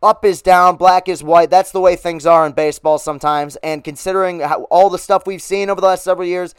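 Upbeat man, slightly hoarse sports host. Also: sits high, fairly even intonation, a little fast, no echo, clean studio sound. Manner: upbeat, rambling with fillers. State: losing his voice. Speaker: podcast host. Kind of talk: casual sports podcast talk.

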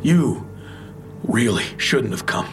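A man's voice: fairly low pitch, lively intonation, slow, over music. An actor playing a desperate, guarded and grieving man, worn down, in an audio drama. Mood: defeated, withdrawn.

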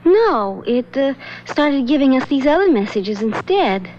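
An actress playing a nervous young secretary who hesitates and stammers in a radio drama. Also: female voice, high-pitched, very animated, unhurried, darkish tone, a little hiss or hum. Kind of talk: 1970s radio drama acting. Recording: dull lo-fi radio recording.

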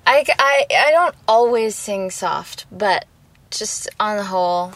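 A woman musician chats as a guest, her voice soft and at a medium pitch. She talks in a playful, thoughtful way. It was recorded inside a parked car. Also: expressive, slow, no echo, distant mic. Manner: playful and reflective, soft-spoken. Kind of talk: casual conversational interview.

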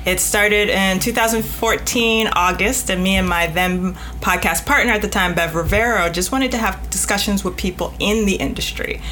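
A woman's voice: medium pitch, normal speed, hardly any pauses, a bit bright, soft background music, rough recording. A woman speaking frankly and conversationally as a podcast guest, stressing points through repetition. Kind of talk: casual interview talk.

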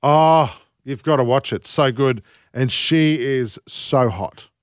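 A man's voice: medium pitch, slow, dry recording, clean studio sound. A man co-hosting a radio show, reading out news stories in casual, teasing banter. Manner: teasing, cheeky, jokey. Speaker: radio co-host.

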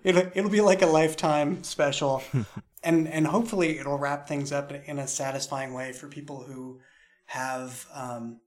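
A man talking casually as a show creator on a podcast, halting as he thinks aloud. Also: fairly high pitch, steady tone. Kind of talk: casual chat in a behind-the-scenes podcast.

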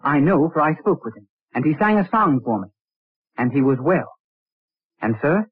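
An actor in an old radio drama playing an earnest, respectful young medical student, polite but insistent. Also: male voice, fairly high pitch, big pitch swings, moderate pace, slightly rough, no room sound. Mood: anxious, insistent. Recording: tinny, band-limited vintage radio recording.

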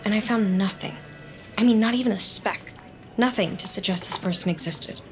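A woman's voice: medium pitch, faint music behind, lightly acted. An actress playing a lonely, nosy, awkward woman who works as a data analyst, in a scripted audio drama. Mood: awkward, evasive. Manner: awkward, halting, wry, muttering to herself. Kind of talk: scripted audio drama, dialogue and inner monologue.

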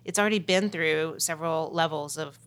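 Low-voiced candid songwriter woman. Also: fairly quick. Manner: candid, reflective, self-mocking. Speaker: songwriter guest. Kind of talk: casual interview chat.

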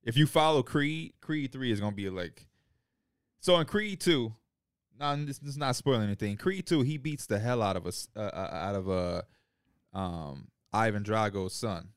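A man's voice: on the low side, animated, normal speed, smooth, no room sound, clean studio sound. A man in his twenties co-hosting a podcast in casual, slangy, emphatic chat. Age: 20s. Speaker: podcast co-host.

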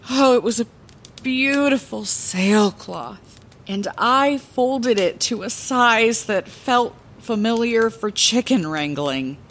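A woman's voice: medium pitch, a bit bright, slight background noise. An actress playing a fond, playful middle-aged woman lighthouse keeper, telling her past as a theatrical bedtime story in a fantasy audio drama. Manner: theatrical, wordy, chatty asides.

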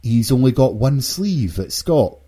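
A man's voice: mid-range voice, big pitch swings, measured pace, warm tone, breathy edge. A British man hosting a casual video game podcast, sometimes stammering and repeating his words. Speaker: podcast host.

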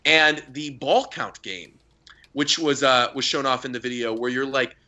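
Young man, podcast co-host, bright tone, excited storytelling, laughs a lot. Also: medium pitch, unhurried. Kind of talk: casual podcast chat.

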